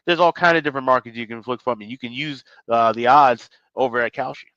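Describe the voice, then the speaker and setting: A man's voice: mid-range voice, fast talker, fairly bright tone, no room sound, dull audio. A man co-hosting a sports show, reading a sponsor spot in an enthusiastic, loose and rambling way. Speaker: co-host. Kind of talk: sponsor read in casual chat.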